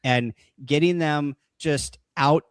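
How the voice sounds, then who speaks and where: A man's voice: on the high side, takes it slowly, clean tone, dry recording, clean studio sound. A man in a casual panel chat, talking bluntly and with strong opinions as a guest explainer. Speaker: guest explaining amateur radio contests.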